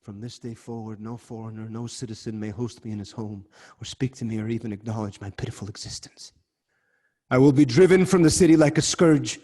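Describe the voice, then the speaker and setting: An actor playing an anguished king who confesses a killing and curses himself, in a dramatic reading over a video call. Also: sits high. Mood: despairing, then self-loathing. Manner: swings from hushed dread to loud anguish.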